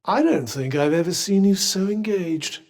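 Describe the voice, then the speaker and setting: A British actor voicing a witty, haughty magical cuff in a video game, speaking in a dry, wordy, formal way. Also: male voice, sits high, animated, moderate pace, a little mellow, big-room sound. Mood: dry, sardonic.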